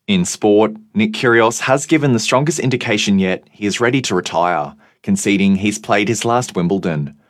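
A male newsreader delivering a radio bulletin in a measured, even way. His voice sits a little low and the recording is close and dry, with no room at all. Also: dry recording. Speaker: news and sport reader. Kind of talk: scripted radio news.

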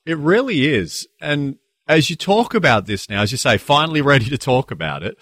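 A man hosting a radio interview, asking measured, polished questions. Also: a little high, very animated, a bit bright, husky, no room sound, studio quality. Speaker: radio host.

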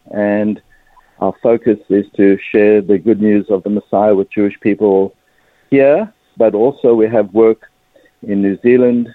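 Male interview guest, 50s, South African accent. Deliberate, fairly low delivery, narrow phone-quality sound. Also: no echo. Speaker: interview guest, religious ministry leader. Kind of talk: measured personal account in an interview.

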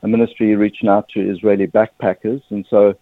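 A man's voice: fairly low pitch, level tone, fairly quick, no room sound. A man in his fifties with a South African accent, speaking earnestly and a little emotionally as a radio interview guest. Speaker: guest, ministry director. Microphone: phone line. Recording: tinny dry phone line.

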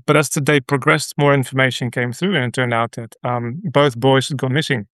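A middle-aged man from the Netherlands with a Dutch accent, a radio guest telling a personal story in an earnest, halting way with many restarts. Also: medium pitch, fairly even intonation, a bit bright, dry recording, clean studio sound. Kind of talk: conversational interview.

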